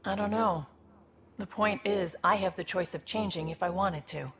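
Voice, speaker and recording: An actress playing a tough, streetwise woman in a video game, blunt and reflective, with a gravelly voice. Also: lowish voice, moderate pace, a crowd in the background, close to an everyday voice. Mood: reflective, hopeful. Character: tough, streetwise woman seeking a fresh, honest start.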